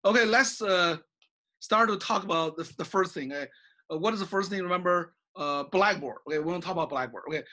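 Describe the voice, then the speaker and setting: A man's voice: high-pitched, a little fast, sharp tone. A middle-aged man from China with a Chinese accent, a college professor recording an online class lecture in his office over a webcam, tagging his sentences with "okay?" and many "uh" fillers. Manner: frequent "okay?" and "uh" fillers, gesturing while talking. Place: small office with bookshelves. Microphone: webcam video call at desk.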